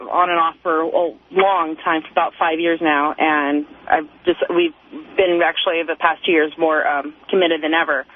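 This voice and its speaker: A young woman calling a radio show, talking casually and haltingly about personal matters. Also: on the low side. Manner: hesitant, halting. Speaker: call-in radio caller. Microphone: telephone. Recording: tinny phone line on radio.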